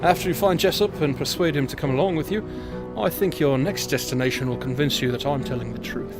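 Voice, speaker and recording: An actor with a British accent playing a mysterious, polite time-travel guide in a formal, measured audio drama style. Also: male voice, a little high, music in the background, natural voice. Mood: calm, knowing. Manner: formal, measured, gently reproachful.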